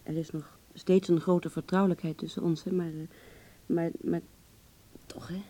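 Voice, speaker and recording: Radio drama role: female actor playing a vulnerable young woman, husky and dark-toned, hesitant, intimate, wounded yet blunt. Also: on the low side, little pitch movement, normal speed, natural voice. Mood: hesitant, uneasy. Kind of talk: Dutch radio play acting.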